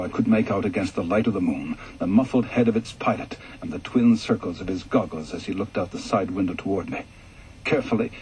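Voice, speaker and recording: A man reading a ghost story aloud for radio in a measured, calm way, going soft and low in the reflective passages. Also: slight background noise, poor audio. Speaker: story reader.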